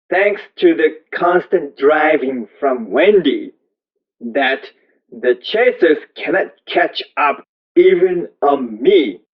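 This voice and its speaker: A man reading a first-person story aloud as scripted narration, in slow, deliberate, measured phrases. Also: a little high. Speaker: audiobook reader. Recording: thin, phone-like narrow-band audio.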